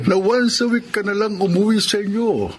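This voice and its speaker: An actor plays an older rich gentleman in a Filipino radio drama. His voice is high for a man, with a gentle, deliberate, fatherly manner. A little noise runs underneath. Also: close to an everyday voice. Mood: kindly. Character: Alfredo, kindly older rich widowed employer, fatherly.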